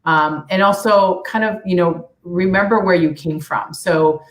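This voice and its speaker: A woman's voice: lowish voice, unhurried. A woman who grew up partly in Peru, a nonprofit leader speaking earnestly and reflectively on a video call panel, with many restarts. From United States, raised partly in Peru. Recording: echoey room on a video call.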